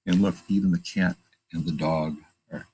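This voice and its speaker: A man with a gravelly, husky voice reads a picture book aloud to children, pausing to point out pictures and ask questions. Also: sits low. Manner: animated, emphatic read-aloud delivery. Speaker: children's storytime reader. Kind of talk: reading a picture book aloud with chatty asides.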